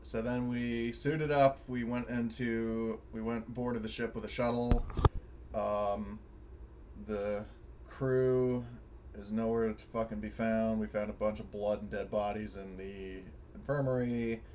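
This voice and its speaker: A man's voice: fairly low pitch, flat delivery, measured pace, a little mellow. A man chatting casually as a player in a tabletop game, informal and free with swearing. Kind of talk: casual chat. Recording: clearly noisy microphone.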